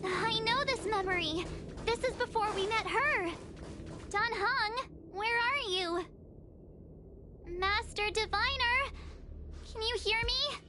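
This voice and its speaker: A woman voicing a spirited, expressive teenage girl adventurer in an anime-style video game. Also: extremely high pitch, slow pace, pauses often, noisy background. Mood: anxious. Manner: expressive, perky, emphatic. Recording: game music behind voice.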